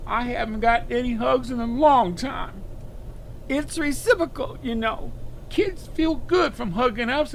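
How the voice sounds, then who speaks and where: A man's voice: very high-pitched, creaky, fairly bright tone, slight background noise, heavily acted, rough recording. A man playing a wistful, huggable stuffed bear come to life in an audio drama, folksy and childlike. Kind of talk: cartoonish audio drama acting.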